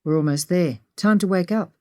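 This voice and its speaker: A woman's voice: lowish voice, normal speed, warm tone, lightly acted. A woman voicing a confident, teasing male adventurer in a narrated story. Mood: gentle.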